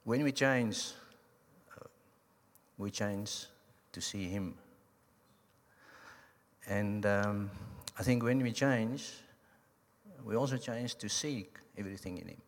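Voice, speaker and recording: A man's voice: fairly low pitch, takes it slowly, long pauses. Afrikaans man speaking in a reverberant church. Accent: South African English. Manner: joking, relaxed. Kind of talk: church talk. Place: church hall. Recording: long hall reverb.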